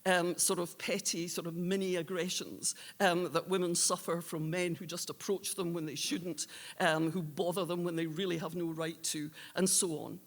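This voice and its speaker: Halting woman presenter in a reverberant hall. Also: on the low side, level tone. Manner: halting, long-winded, earnest phrasing. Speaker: awards presenter. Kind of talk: unscripted awards presentation speech. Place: indoor awards hall.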